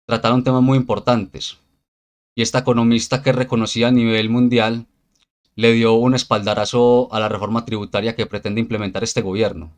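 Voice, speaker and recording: Measured male news commentator. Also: medium pitch, level tone. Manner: measured, linking segments with set phrases.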